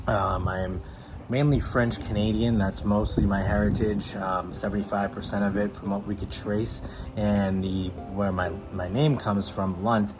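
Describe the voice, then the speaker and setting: Low, flat-voiced man with music behind. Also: background noise. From Massachusetts. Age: 40s. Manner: rambling, many fillers and restarts. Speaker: real estate agent. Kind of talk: casual self-introduction. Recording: music playing clearly under the voice.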